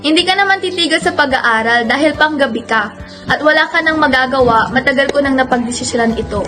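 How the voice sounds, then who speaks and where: Radio drama villainess: actress playing cold, greedy bar madam, high voice, curt orders, faint music behind. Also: female voice, quick pace, sharp tone. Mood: stern. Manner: bossy, curt. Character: cold, domineering, greedy female bar owner and guardian.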